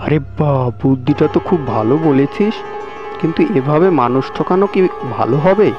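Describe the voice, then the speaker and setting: A man's voice: a little high, animated, normal speed, lo-fi sound, over music, natural voice. A man voicing a timid, honest young village man in a cartoon, anxious and protesting. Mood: impressed, doubtful. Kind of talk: cartoon dialogue.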